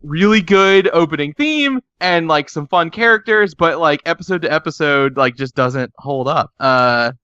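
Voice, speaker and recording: A man's voice: a little high, expressive, moderate pace, hardly any pauses, pushed and bright, clear, resonant tone, no room sound, dull audio. A man chatting casually as a podcast panelist. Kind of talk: casual chat.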